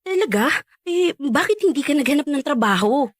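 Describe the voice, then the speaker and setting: A woman's voice: sits high, expressive, normal speed, dry recording, clean studio sound. A young woman playing a nosy, frank, well-meaning friend in chatty radio drama dialogue, probing and giving blunt advice. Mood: curious.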